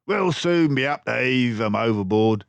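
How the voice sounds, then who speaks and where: Storybook villain, voiced by a male reader: rough, taunting mouse crook, raised pitch, swooping delivery. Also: expressive, moderate pace, no room sound. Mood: jeering. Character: menacing, jeering male mouse gangster villain.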